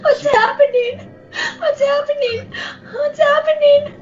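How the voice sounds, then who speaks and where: A woman's voice: extremely high pitch, fairly even intonation, slow, over music. An actress playing a terrified young woman in a horror audio drama, hysterical and crying out. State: crying, screaming. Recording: dull lo-fi recording.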